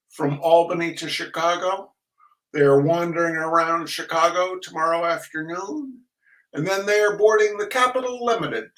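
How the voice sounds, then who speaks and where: A man's voice: a little high, a bit bright, distant mic, rough recording. An American man hosting casually, rambling with folksy showman's asides and repeated phrases. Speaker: host of a fundraising reading show. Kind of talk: casual rambling chat to an audience. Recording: voice some way from the mic.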